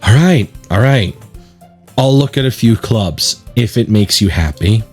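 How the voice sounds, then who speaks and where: Man playing an aloof, low-voiced schoolboy. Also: expressive, measured pace, soft background music. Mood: reluctant. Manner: dry, dismissive. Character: aloof, reluctant high school boy gamer. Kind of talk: visual novel character read-aloud.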